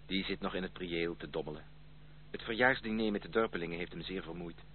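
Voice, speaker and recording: An actor playing a courteous, ardent gentleman suitor in a Dutch radio drama, with a formal, gallant way of speaking. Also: low-pitched, quick pace, close to an everyday voice. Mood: friendly. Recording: tinny, noisy old radio play recording.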